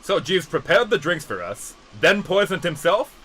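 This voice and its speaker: A man's voice: fairly high pitch, very animated, normal speed, a bit bright, low-quality recording. A man playing a snide, defensive and sarcastic younger brother in an amateur murder mystery audio drama. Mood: incredulous. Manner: indignant, sarcastic.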